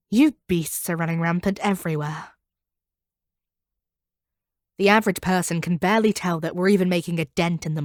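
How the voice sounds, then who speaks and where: An actress voices a hardened monster hunter woman in a scripted audio roleplay. Her voice sits a little low and is recorded very dry and close. She talks down to her captive with measured, domineering authority and a proud, bitter edge. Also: dry recording, lightly acted. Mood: bitter, frustrated.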